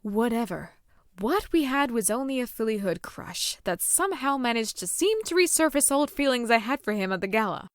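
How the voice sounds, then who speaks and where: A woman's voice: a little high, sing-song, normal speed, dry recording, clean studio sound. A woman voicing a composed, wistful pony princess in a cartoon-style audio drama. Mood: wistful, defensive. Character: Princess Celestia, regal adult pony mare, composed, wistful. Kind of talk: animated-series fan audio drama.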